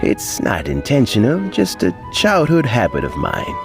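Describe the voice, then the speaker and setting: A man's voice: lowish voice, huge pitch swings, measured pace, creaky, over music, heavily acted. An actor playing a kindly, modest old statesman in a video game, chatty and chuckling. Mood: genial. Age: older.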